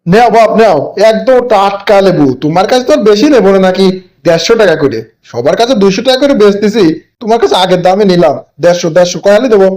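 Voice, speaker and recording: A sly older market vendor from a comic cartoon, voiced by a man. He wheedles in a high voice at a fast clip. His patter is insistent. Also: animated, a little mellow. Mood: wheedling, protesting. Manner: insistent, oath-swearing sales patter. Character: wheedling older male lemon seller, slyly dishonest.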